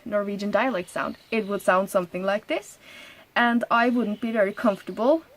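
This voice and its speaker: A woman in her thirties from Norway, hosting a crafting podcast in a chatty, casual way, laughing often and pausing with ums. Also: medium pitch, a bit bright. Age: 30s. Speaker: knitting podcast host. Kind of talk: casual solo podcast chat.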